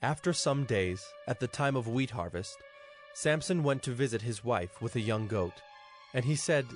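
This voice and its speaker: A man's voice: medium pitch, animated, moderate pace. Male scripture narrator over music.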